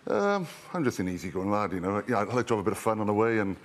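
A man's voice: fairly low pitch, big pitch swings, very fast, slight background noise. A man in his thirties from Wales, a rugby player guest chatting casually and rambling with plenty of fillers. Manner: easygoing, rambling with many fillers. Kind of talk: casual interview chat.

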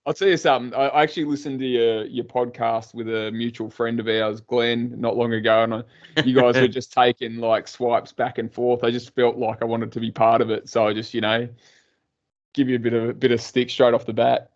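An Australian man hosts a relaxed podcast chat, joking and ribbing his guest as he talks, often starting his sentences over. His voice sits at a middling pitch. The recording sounds slightly dull and muffled. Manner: joking, teasing, halting restarts. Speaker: podcast host. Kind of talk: casual interview chat.

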